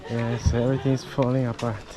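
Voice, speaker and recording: A man's voice: medium pitch, level tone, brisk. Casual man from Costa Rica. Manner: short replies, laughs easily. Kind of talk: casual chat. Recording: crowd noise.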